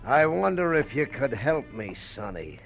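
Big menacing thug for vintage radio crime drama. Condescending taunts, very animated intonation, dull old recording. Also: male voice, mid-range voice, measured pace, gravelly, loud music behind the voice. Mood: taunting menace. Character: big bulldog-faced gunman, blunt and menacing. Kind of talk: old-time radio detective drama acting.

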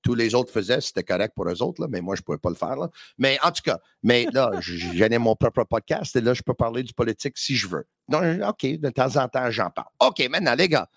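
A man with a Quebec French accent hosting a sports podcast, lively and joking, with quick asides. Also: medium pitch, gravelly, slightly rough, no echo, clean studio sound. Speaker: podcast host. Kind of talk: casual sports talk.

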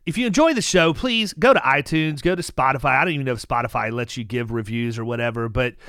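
A man hosting a casual podcast, talking animatedly with many restarts and making pitches to his listeners. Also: fast, fairly bright tone, clear, resonant tone, no room sound, studio quality. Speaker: podcast host.

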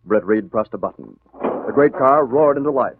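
A man's voice: medium pitch, animated, normal speed, background noise. A man narrating a 1940s radio serial as announcer, with a formal, dramatic delivery. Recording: tinny, narrow-band old radio recording.

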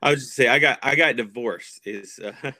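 An American man in his thirties from Texas, chatting casually as a podcast guest with a hesitant, pausing delivery. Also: medium pitch, bright, forceful tone, no echo. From Texas, United States. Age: 30s. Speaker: podcast guest, punk music promoter. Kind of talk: casual chat.

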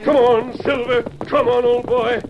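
An actor playing a resolute, commanding masked hero of the West in an old radio drama. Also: male voice, extremely high pitch, flat delivery, a little slow, lo-fi sound, music in the background. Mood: urgent. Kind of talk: old-time radio western acting. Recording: noisy old radio recording.

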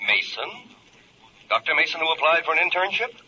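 A flustered hospital chief in a vintage radio play. His voice is very sharp and animated, on a muffled recording. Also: on the high side, brisk, slightly rough, exaggerated voice. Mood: flustered, disbelieving. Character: flustered male hospital superintendent, a doctor. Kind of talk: old-time radio drama acting.

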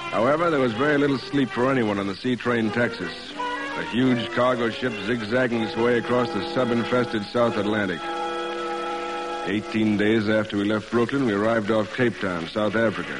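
An actor playing a steady, commanding cargo ship captain in 1940s radio drama style. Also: male voice, on the low side, normal speed, lightly acted, low-quality recording. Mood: calm, composed. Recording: vintage radio recording with loud music.

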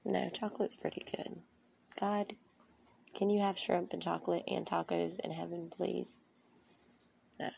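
A woman hosting a podcast, speaking in an earnest, rambling, heartfelt way with a soft, soothing voice. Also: lowish voice, little pitch movement, measured pace, gravelly, long pauses, breathy edge. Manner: earnest, rambling, with restarts and asides. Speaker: podcast host. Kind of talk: heartfelt motivational monologue.

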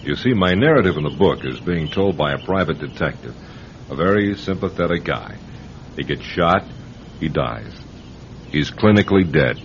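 A man's voice: a bass voice, animated, normal speed. A man playing a wry, stubborn, self-assured novelist in a radio drama, speaking in a clipped, emphatic way. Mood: earnest, intense. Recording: dull, faintly noisy radio recording.

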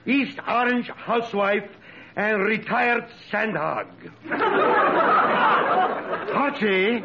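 An actor playing a blunt, eccentric, comically rude Eastern European professor in a 1940s radio comedy, mock-courteous and deadpan. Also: male voice, sits high, extremely slow, creaky, over a noisy crowd, exaggerated voice. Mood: mock-grand. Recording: noisy, muffled old radio recording.

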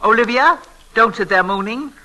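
An actress playing an imperious, grumbling old invalid aunt who softens under flattery, in old-time radio drama style. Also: female voice, on the high side, normal speed, soft background music, rough recording. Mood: imperious, peevish. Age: older. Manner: querulous, haughty. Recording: vintage radio broadcast recording.

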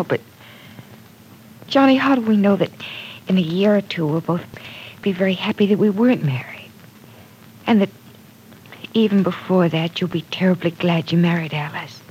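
An actress playing an earnest, gentle young woman caught up in love troubles in an old radio drama, soft and breathy at times. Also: fairly low pitch, dull audio, a little hiss or hum, lightly acted. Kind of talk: 1940s radio acting.

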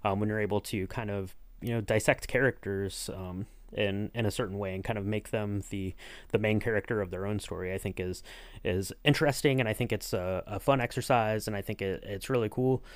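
A man with a fairly deep voice interviews on a podcast in a dry, close recording. He is casual and upbeat about things, and he rambles as he works toward each question. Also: fairly even intonation, fairly quick, no room sound. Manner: rambling, enthusiastic, peppered with "you know". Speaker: podcast co-host acting as interviewer.

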